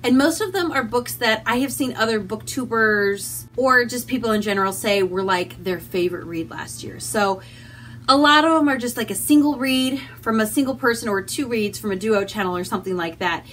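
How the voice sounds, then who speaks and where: Bright, high-voiced chatty woman vlogger. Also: moderate pace. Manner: animated, rambling, self-deprecating asides. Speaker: book vlogger, host. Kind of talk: casual chatty vlog to camera.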